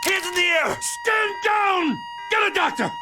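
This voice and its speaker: An actress playing an urgent, commanding police officer in a video game, shouting orders over alarms. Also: female voice, very high voice, a little slow, background noise. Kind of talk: dramatic video game acting.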